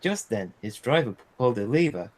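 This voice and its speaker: A man reading a children's storybook aloud as a storyteller, in an animated style. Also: medium pitch, brisk, low-quality recording. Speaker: storyteller, streamer.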